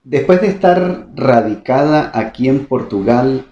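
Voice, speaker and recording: A male interviewer asks his guest brief, prompting questions in a warm, mellow voice. The recording is dull and echoey. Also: mid-range voice, lively intonation, measured pace. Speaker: interviewer, channel host.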